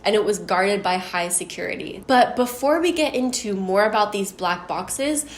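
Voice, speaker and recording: A woman with a somewhat deep voice telling a story in a chatty, casual commentary way, often saying "like". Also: lively intonation, moderate pace, reverberant. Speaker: YouTube storyteller.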